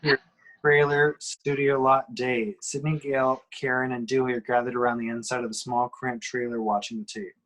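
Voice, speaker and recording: A man's voice: medium pitch, little pitch movement, moderate pace, fairly bright tone, low-quality recording. Man narrating screenplay action. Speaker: reader of screenplay action descriptions. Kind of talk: scripted narration at an amateur table read.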